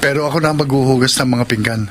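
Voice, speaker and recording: An actor playing a meek, dutiful young jobless husband in a Filipino radio drama. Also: male voice, medium pitch, very fast, background noise, close to an everyday voice. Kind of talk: Tagalog radio drama acting.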